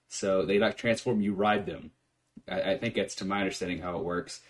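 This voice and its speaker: Low-voiced laid-back male podcast host. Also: fairly even intonation, brisk. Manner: laid-back, swears freely, laughs often. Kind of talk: casual chat.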